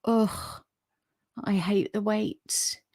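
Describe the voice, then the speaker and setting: A woman's voice: medium pitch, steady tone, extremely slow, dry recording. Woman, livestream host, soothing voice, chatty, dry close mic. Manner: chatty, warm toward viewers, many fillers. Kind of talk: casual live chat reading viewer comments.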